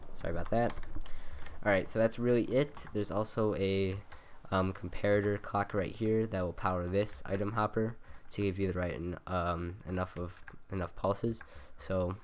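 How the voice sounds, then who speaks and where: Young man, nasal, low voice, rambling game tutorial, cheap desk mic, keyboard typing behind. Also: fairly even intonation, moderate pace, slight background noise. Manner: rambling, many ums and self-corrections. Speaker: gaming tutorial maker. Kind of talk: casual game tutorial voice-over. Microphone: cheap USB desk mic by the keyboard.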